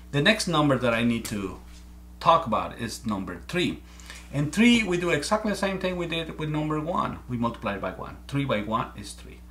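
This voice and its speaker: A man's voice: medium pitch, fairly bright tone, a little hiss or hum. A man in his forties from Mexico, with a heavy Mexican Spanish accent, earnestly explaining math step by step to a webcam at home. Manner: earnest, repeats words while thinking. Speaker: amateur math presenter. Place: small room at home, indoors.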